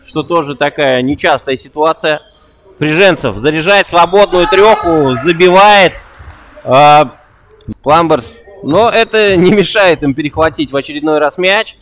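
A young man giving quick, excitable play-by-play commentary on an amateur basketball game, repeating a catchphrase. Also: fairly high pitch. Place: indoor basketball gym.

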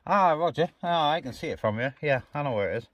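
A man's voice: a little high, animated, fast talker. A man chatting casually as a vlogger and CB radio operator. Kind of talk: casual chat. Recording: noisy, radio hiss and clicks.

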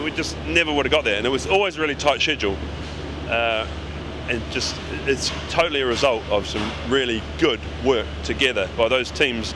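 A middle-aged British man giving an informal update as a construction project manager, explaining haltingly and repeating words. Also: a little high. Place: large underground station construction site. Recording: clear machinery and site noise behind.

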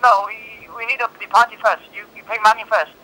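Over a tinny telephone line, a man takes an order. His voice is high and very piercing. He speaks in short, clipped questions, repeating details to confirm. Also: little pitch movement, brisk, pushed and bright, a little hiss or hum. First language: Chinese. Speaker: restaurant employee taking orders. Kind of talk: terse transactional phone talk. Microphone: heard over a phone.

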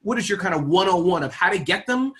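A man's voice: sits high, lively intonation, quick pace, fairly bright tone. A man in his forties hosting a casual interview over video call, playfully recapping what his guests say. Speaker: host. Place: indoor room on a video call.

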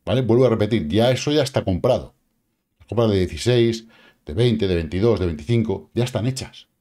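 A man's voice: on the low side, fairly quick, dark tone. A middle-aged man with a Spain Spanish accent, talking casually to camera about the crypto market, joking and addressing his viewers directly. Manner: chatty, jokey, addresses viewers directly with asides and repeated "vale". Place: small indoor studio with purple lighting.

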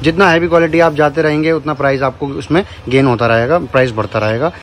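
A man's voice: fairly high pitch, fast, a little hiss or hum. A man filming a casual vlog in a crowded shop, chatting with the seller and repeating his answers to the viewers. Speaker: vlogger. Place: crowded cooker shop, indoors.

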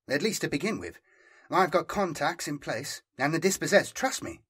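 A man's voice: fairly high pitch, expressive, moderate pace, a bit bright, slightly rough, pitched above the performer's own voice, exaggerated voice. A man voicing a smug, self-assured male fugitive in an audiobook, superior and teasing in manner. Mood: appraising, persuasive. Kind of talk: audiobook character dialogue.

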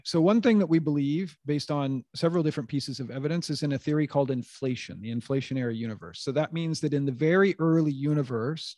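A man's voice: on the high side, darkish tone, no room sound. A man in his thirties moderating a science panel Q&A in a lecture room on a handheld mic, thinking aloud with frequent restarts.

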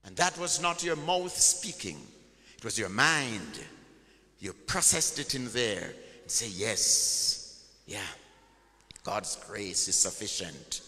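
A man's voice: on the low side, big pitch swings, takes it slowly, bright, forceful tone. A man preaching a sermon in a church, emphatic and call-and-response, drawing out his key words. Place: large church hall. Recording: long church hall reverb.

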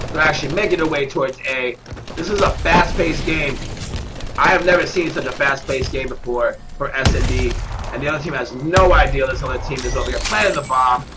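A man with a stuffed-up nose, commentating live at a gaming tournament, getting excitable on big kills. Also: medium pitch. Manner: excitable, shout-outs to viewers, bursts of hype on kills. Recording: noisy with game gunfire behind.

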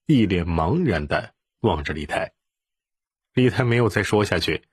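Expressive low-pitched man narrating a story. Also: slightly rough, no echo, studio quality. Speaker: audiobook narrator. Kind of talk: scripted dramatic story narration.

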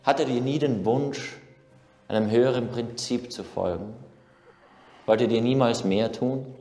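An actor playing an earnest, stubborn young crown prince in a historical docudrama, speaking in a solemn, determined way. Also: male voice, mid-range voice, unhurried, dark tone, soft background music, lightly acted. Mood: solemn, challenging.

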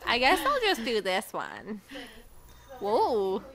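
A woman's voice: on the high side, wildly swooping pitch, takes it slowly, heavy background noise. A young woman playing a video game and chatting bluntly with a friend, swearing often and laughing. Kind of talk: casual gameplay chat.

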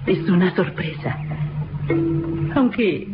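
Slow, seductive mystery woman. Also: fairly low pitch, animated. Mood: coy, teasing. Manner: coy, languid. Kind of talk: old radio drama acting. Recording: dull old radio broadcast recording. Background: loud dramatic music.

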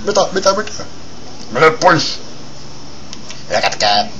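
A man voicing a panicked, shouting dog in an amateur cartoon fan dub, loud and frantic. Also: a little high, big pitch swings, voice pushed up. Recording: muffled lo-fi home recording, loud television noise.

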